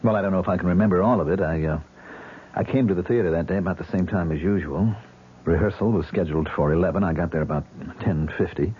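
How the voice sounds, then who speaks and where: An actor playing a troubled, defensive stage actor in a 1970s radio drama. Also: male voice, low-pitched, fast talker, slightly rough. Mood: guarded, hesitant. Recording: muffled, hissy old radio broadcast recording.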